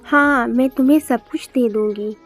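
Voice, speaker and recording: A woman's voice: on the high side, normal speed, faint music behind, natural voice. A woman voicing a generous female talking fish queen in a cartoon story, earnest and promising.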